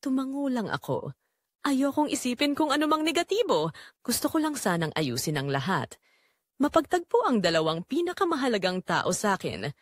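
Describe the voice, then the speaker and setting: A woman playing a wounded middle-aged mother who tells her heartbreak in earnest, deliberate audio drama narration. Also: a little high, big pitch swings, no echo, studio quality. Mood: hurt, reflective.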